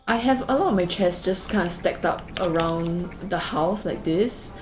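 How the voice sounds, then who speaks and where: Chatty Singaporean woman, low-pitched. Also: darkish tone. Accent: Singaporean English. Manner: chatty, rambling, many fillers and "like"s. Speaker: gaming video creator. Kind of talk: casual game tour narration. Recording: faint background music.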